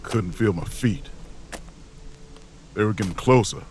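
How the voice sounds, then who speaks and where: A man acting in an audio drama as a grave, weary wanderer, narrating in halting, brooding short phrases. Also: lowish voice, darkish tone, slight background noise, close to an everyday voice. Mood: brooding, haunted. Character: grave, weary wanderer recounting a frozen ordeal.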